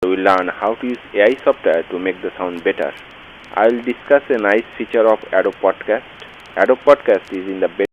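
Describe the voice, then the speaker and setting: A man with a fairly low voice reads a scripted tutorial lecture. The sound has a vintage radio and vinyl effect. Faint hiss and crackle sit behind the voice. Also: fairly quick, dull audio. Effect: vintage radio filter with vinyl crackle. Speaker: tutorial narrator.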